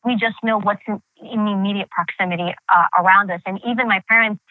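A woman's voice: mid-range voice, fairly even intonation, fast, no echo. A woman from New in her thirties, telling her family story as an interview guest, candid and reflective with many fillers and restarts. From New York, United States. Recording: tinny phone-like line, dry.

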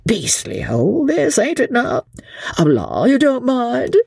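A woman voicing a foppish, good-humoured English gentleman who plays the fool, dithering and chuckling in an affected drawl, for an audiobook. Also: on the high side, huge pitch swings, a little slow, creaky, slightly rough, pitched above the performer's own voice, heavily acted. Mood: breezily apologetic. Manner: affected drawl, apologetic chuckling and dithering, old-fashioned exclamations. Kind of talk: dramatised audiobook character voice.

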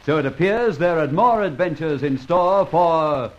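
A man's voice: on the high side, measured pace. A man announcing a vintage radio adventure serial in dramatic scripted narration. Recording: dull old radio recording.

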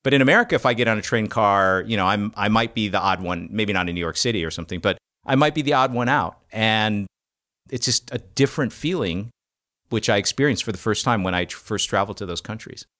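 A man's voice: lowish voice, quick pace, a bit bright. A man co-hosting a podcast in casual conversation, chatty and self-mocking. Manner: chatty, laughs at himself.

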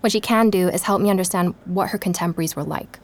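An actress as an inquisitive woman podcaster keeps an audio-drama diary in a slightly low voice. She sounds conversational and reflective, candid about what she wants to find out. A faint noise sits behind her. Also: fast talker, close to an everyday voice. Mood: explanatory. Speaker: narrator and interviewer.

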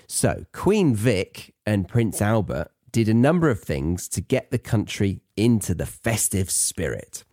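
Low, mellow, lively British man. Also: a little slow, hardly any pauses, dry recording, clean studio sound. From Britain. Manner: rambling, playful asides, self-deprecating. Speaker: podcast host teaching English learners. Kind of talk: casual, chatty explainer.

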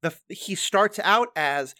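Podcast host, mid pitch, dry close sound, talks fast in bursts, lots of restarts. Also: no room sound. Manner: animated, fast bursts, thinking aloud with restarts. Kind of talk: conversational interview.

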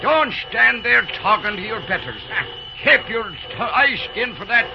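An actor playing a brash, boastful Irish railroad engineer in an old-time radio drama, blustering and scolding with an Irish accent. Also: male voice, very high voice, lively intonation, a little slow, vocal fry, exaggerated voice. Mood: blustering, impatient. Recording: muffled, noisy old radio recording.

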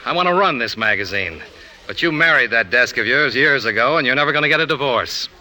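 Cocky, very bright-voiced young wisecracker. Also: male voice, medium pitch, expressive, a little fast, poor audio. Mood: barbed, smug. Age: young adult. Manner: flippant, sardonic, needling. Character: cocky, wicked-tongued, ambitious young male magazine employee. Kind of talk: 1950s radio drama acting.

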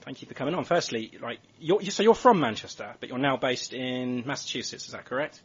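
A man's voice: medium pitch, animated, fairly quick, fairly bright tone, slightly rough. A man hosting a football podcast, chatting casually and putting questions to the others. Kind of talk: casual sports discussion and interviewing. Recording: dull, lo-fi voice recording.

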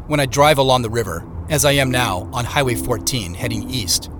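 An actor with an American accent playing a reflective man who narrates his road trip in a measured, literary audio drama style. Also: medium pitch, expressive, background noise, lightly acted. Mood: reflective, wistful.

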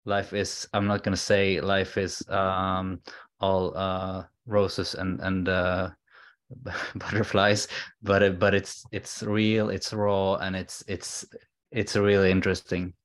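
A man with a Swedish accent speaks as a guest in a conversational interview. His low voice stays very even as he earnestly feels his way toward the right words. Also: slow. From Sweden. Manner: hesitant, searching for words, earnest. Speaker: podcast guest, alcohol-free coach.

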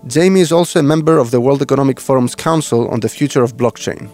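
A man hosting a podcast, reading a scripted welcome and then asking questions in a halting way with uh fillers. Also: medium pitch, darkish tone, faint music behind. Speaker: podcast host.

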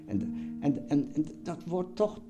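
An elderly man in his eighties, talking reflectively in an interview, halting and often repeating words as he searches for them. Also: a little high, animated, lo-fi sound. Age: 80s. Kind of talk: personal interview, reflective talk. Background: music.

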